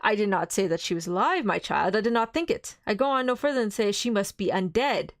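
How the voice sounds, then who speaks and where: A woman's voice: mid-range voice, lively intonation, brisk, no echo, studio quality, natural voice. A woman voicing a grave elderly foreign professor in a read-aloud novel, speaking formally, sternly and earnestly.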